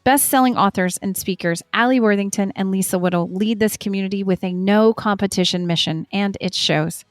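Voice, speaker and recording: A woman's voice: medium pitch, fairly quick, hardly any pauses, dry recording, soft background music. A woman hosting a podcast, reading an ad and welcoming a guest in an upbeat, encouraging way. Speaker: podcast host. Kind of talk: scripted ad read and warm interview hosting.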